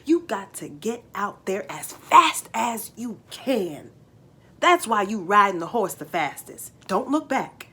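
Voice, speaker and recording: Woman voicing a folksy, unhurried old farmer father. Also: mid-range voice, big pitch swings, fairly bright tone, breathy edge, heavily acted. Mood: commanding. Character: old farmer father, tall-tale bragging, folksy and soothing.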